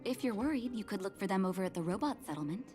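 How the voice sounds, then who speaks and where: A woman playing a gentle, composed young woman in a video game, with a kindly, measured delivery. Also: mid-range voice, lively intonation, faint music behind, lightly acted. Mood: gentle, reassuring.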